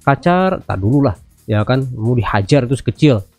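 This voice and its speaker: An Indonesian man in his thirties talks through a game in a soft, mellow voice. His delivery is casual and chatty, slipping into English radio-style phrases, with faint music behind him. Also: mid-range voice, lively intonation, normal speed. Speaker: gaming video host. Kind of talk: casual gameplay commentary. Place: indoors at a computer, music behind.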